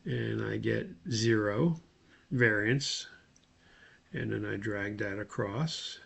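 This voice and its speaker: A man giving a methodical, step-by-step spoken tutorial as an instructor, with a creaky voice. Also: medium pitch. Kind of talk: spoken screen-share tutorial.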